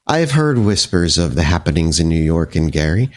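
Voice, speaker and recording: A man voicing a composed, courteous vampire woman over a hundred and fifty, speaking formally and with measured reserve in a tabletop game. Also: low voice, very animated, pitched below the performer's own voice. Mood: composed, curious.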